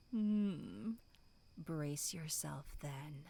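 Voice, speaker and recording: Female performer as low, seductive monster woman for horror ASMR. Hushed, vengeful, extremely slow delivery. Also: creaky, exaggerated voice. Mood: taunting, amused. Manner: slow, hushed, half-whispered, savoring taunts. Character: sinister, seductive female monster in human skin, vengeful and amused.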